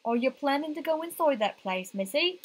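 A woman's voice: sits high, animated, normal speed, a little hiss or hum, pitched above the performer's own voice. A woman voicing a wary, superstitious male taxi driver in a visual novel.

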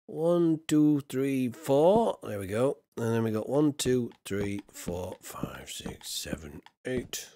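Tired man streaming with lively pitch. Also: slow, hardly any pauses, no room sound. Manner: jokey, mimics accents in short bursts, counts under his breath. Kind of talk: casual live-stream chat while building.

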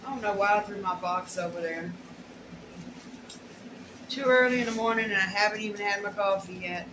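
A woman's voice: medium pitch, a little fast. Folksy middle-aged woman, echoey room. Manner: folksy, rambling, muttering asides. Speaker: haul vlogger. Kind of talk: casual unboxing chat. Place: small bare room at home. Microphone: camera or phone a short distance away. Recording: roomy echo, clear background noise.

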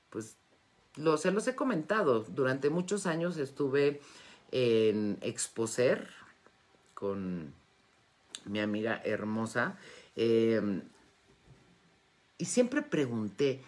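A woman in her fifties with a Mexican accent, chatting casually and effusively with followers in a live session. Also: rumbling low. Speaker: wellness and metaphysics teacher hosting a live Q&A. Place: indoors, home study with bookshelves. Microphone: phone or tablet at arm's length.

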